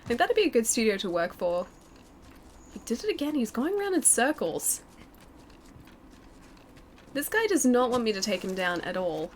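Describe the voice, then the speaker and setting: A woman's voice: on the high side, slight background noise. A woman in her twenties giving casual live game commentary and chatting with viewers in a playful, giggly way. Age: twenty-eight. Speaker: streamer. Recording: game music behind the voice. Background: video game music.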